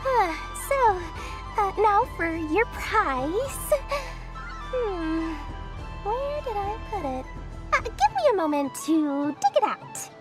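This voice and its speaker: A woman's voice: extremely high pitch, very animated, slow pace, exaggerated voice, poor audio. A woman voicing a scheming little female merchant in a video game, with a theatrical sales patter. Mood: reluctant, flustered. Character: scheming, greedy little female merchant running a prize stall. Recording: music behind the voice.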